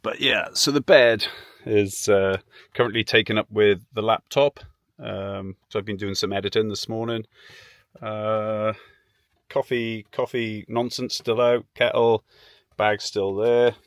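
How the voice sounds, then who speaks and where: A man's voice: fairly low pitch, fairly even intonation, a little slow, dry recording, clean studio sound. A British man talking casually to camera as a vlogger, in a chatty, informal, sweary way. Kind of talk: casual rambling vlog chat.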